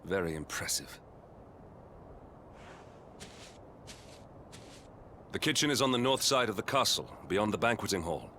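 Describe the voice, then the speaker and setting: An actor playing a grave, loyal knight in a video game, speaking formally and earnestly. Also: male voice, on the low side, sing-song, pushed and bright, a little hiss or hum, heavily acted. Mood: solemn, impressed. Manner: formal, earnest, measured speech. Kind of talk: dramatic video game voice acting. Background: orchestral game music.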